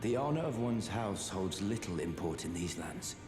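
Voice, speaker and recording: A polite young nobleman in a fantasy game. His voice is deep and genteel, with a wry conversational turn. It echoes, with music clearly behind. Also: very animated, normal speed. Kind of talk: fantasy video game acting.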